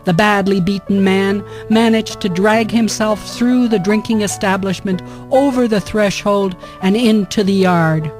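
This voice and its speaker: Female narrator, mid-range voice, scripted historical radio drama storytelling, music clearly underneath. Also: mid-range voice, a little slow, hardly any pauses, a little mellow.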